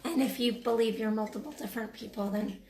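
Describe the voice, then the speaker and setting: A woman's voice: a little high, fast, warm tone, slight background noise. A woman life coach talking in a casual livestream monologue, rambling and earnest, with frequent restarts and the odd swear word. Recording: roomy echo.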